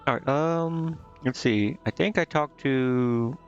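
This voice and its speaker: A man's voice: mid-range voice, slow pace, dull audio. A man giving casual live gameplay commentary, muttering and thinking aloud with hesitation. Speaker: game streamer. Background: game music.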